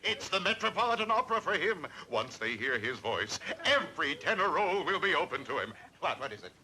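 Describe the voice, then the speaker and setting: Flamboyant operatic uncle, high and showy. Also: male voice, very animated, a little fast, bright, forceful tone, heavily acted. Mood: grandiose. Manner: grandiose, operatic, sings snatches of arias. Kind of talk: 1960s sitcom acting.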